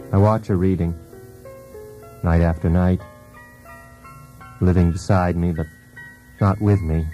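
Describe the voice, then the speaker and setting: Deep-voiced, brooding husband. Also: male voice, warm tone, lo-fi sound. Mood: brooding, possessive. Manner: deliberate, intense, theatrical delivery. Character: brooding, wounded husband in a failed marriage. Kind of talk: poetic monologue in a radio play. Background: music.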